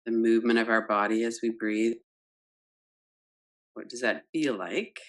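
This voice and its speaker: A woman leading a guided yoga nidra meditation, gentle and unhurried, guiding with soft questions. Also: a bass voice, steady tone. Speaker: meditation teacher.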